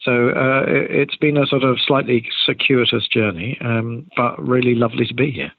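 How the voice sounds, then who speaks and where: A man's voice: medium pitch, moderate pace, vocal fry. An older man, a theatre director, answers interview questions conversationally in a discursive way with many qualifications, over a remote call. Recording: tinny phone-like line, roomy echo.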